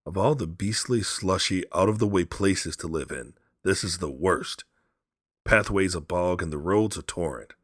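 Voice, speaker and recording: A man's voice: deep voice, normal speed, fairly bright tone, dry recording, studio quality. A man voicing a grey-bearded old father in a storybook, blustery, curious and emphatic. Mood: irritable.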